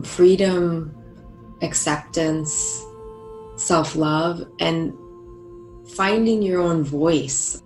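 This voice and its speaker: A woman's voice: deep voice, roomy sound, rough recording. A woman answering an interview question thoughtfully, with occasional stuttered repetitions, recorded in a room at home. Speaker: client.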